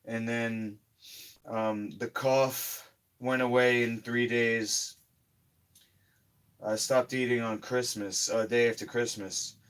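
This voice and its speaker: A hoarse man talking casually in a slow, rambling health vlog, often trailing off quietly. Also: medium pitch, flat delivery. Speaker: health vlogger.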